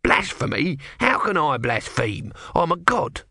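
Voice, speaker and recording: A man's voice: on the high side, very animated, a little slow, creaky, bright, forceful tone, dry recording, studio quality, voice pushed up, exaggerated voice. A man voicing a grumpy small god trapped as a tortoise, evasive and sardonic, in a storybook reading. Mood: irritable.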